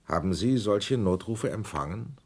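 A pressing, low-voiced male investigator. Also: lively intonation, measured pace, darkish tone, breathy edge, full character voice. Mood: probing. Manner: pressing, formal questioning. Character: probing male committee investigator. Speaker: questioner. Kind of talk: 1980s radio drama acting.

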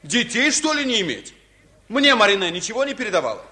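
An actor playing an earnest, polite village brigade leader in a 1950s radio drama, inclined to argue. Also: male voice, high-pitched, very animated, quick pace, sharp tone, full character voice. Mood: protesting.

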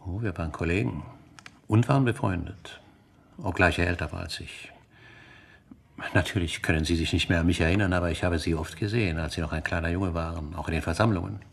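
A deep, gravelly elderly scholar. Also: male voice, a little hiss or hum. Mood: genial, reflective. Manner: measured, earnest, solemn. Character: fervent elderly scholar and father, loyal to freedom. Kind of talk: radio drama acting.

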